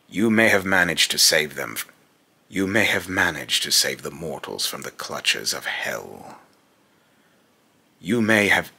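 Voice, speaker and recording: A man's voice: very deep voice, bright, forceful tone, full character voice. A young man playing a menacing, scornful godlike villain in pompous, theatrical monologue, recorded in a small room at home. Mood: scornful, accusing. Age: young adult. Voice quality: gravelly, raspy. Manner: slow, pompous, theatrical delivery. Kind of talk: dramatic villain monologue, rehearsed voice lines.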